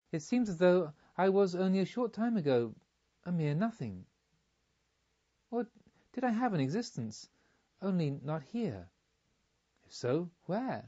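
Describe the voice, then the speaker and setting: Mellow, musing male pilgrim, as a narrator reads him. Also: on the high side, dull audio. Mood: puzzled, wondering. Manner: musing, questioning, earnest. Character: wondering newly reborn male pilgrim, earnest and pensive. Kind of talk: audiobook character reading.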